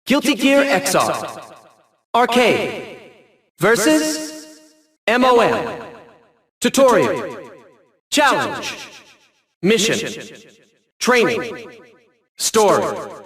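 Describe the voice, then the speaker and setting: Smug eccentric genius villain, youthful high voice, animated delivery, precise theatrical diction, video game, echoey. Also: male voice. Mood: showy announcing.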